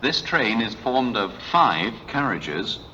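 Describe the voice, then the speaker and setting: A man's recorded voice making automated train announcements on a station platform, in a measured, clearly separated delivery. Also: medium pitch, big pitch swings, bright, forceful tone, highs cut off. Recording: station ambience, faint train noise.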